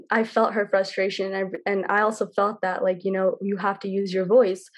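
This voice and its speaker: A woman's voice: mid-range voice, no echo. A young adult woman reflecting in a group discussion on a video call. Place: home room, video call. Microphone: computer mic on video call.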